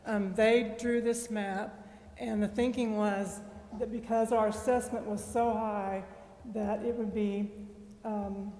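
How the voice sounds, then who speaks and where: A woman giving an unscripted public comment at a council meeting, halting as she sets out a correction. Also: medium pitch, flat delivery, mellow. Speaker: citizen speaking at a council meeting. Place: indoor council chamber. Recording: echoey reverberant meeting hall.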